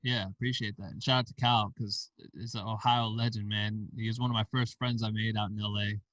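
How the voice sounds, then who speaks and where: A man's voice: lowish voice, little pitch movement, a little fast, fairly bright tone, no echo, recorded from a distance, lo-fi sound. A man from Iowa, a podcast guest, telling stories in a laid-back way with casual slang. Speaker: podcast guest, film director and founder. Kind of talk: casual interview chat.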